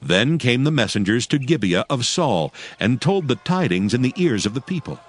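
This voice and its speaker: A man narrating dramatized scripture as a solemn, measured storyteller. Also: medium pitch, big pitch swings, slight background noise.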